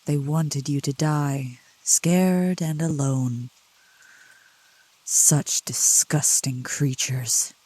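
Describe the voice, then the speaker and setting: A woman playing a seductive, protective demon in a soft ASMR roleplay, speaking slowly and coaxingly in a low, gentle voice. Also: fairly even intonation, husky, heavily acted. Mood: soothing, tender. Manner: slow, deliberate, coaxing, with pet names. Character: seductive, protective female demon, soothing yet scornful of cultists. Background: rain.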